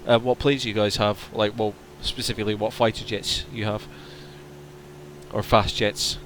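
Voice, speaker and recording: A man streaming a flight simulator, chatting casually and answering viewers' questions while his voice starts to strain and go hoarse. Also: lowish voice, little pitch movement, normal speed, a bit bright, slight background noise, rough recording. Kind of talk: casual livestream chat.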